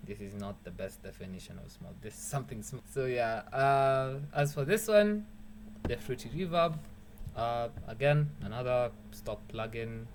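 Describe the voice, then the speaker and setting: Young Kenyan man, mid-pitched, casual tutorial, jokey, faint noise floor. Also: expressive, moderate pace. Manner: rambling, joking, swears a lot, mixes in Swahili. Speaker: music producer. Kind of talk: casual beat-making tutorial.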